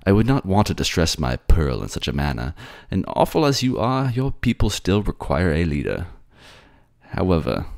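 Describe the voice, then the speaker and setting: Man playing a suave, teasing fantasy prince, lilting pitch. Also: gravelly, heavily acted. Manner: courtly, sardonic, gently mocking, old-fashioned turns of phrase. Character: suave, teasing male prince of sea people. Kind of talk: dramatic audio roleplay.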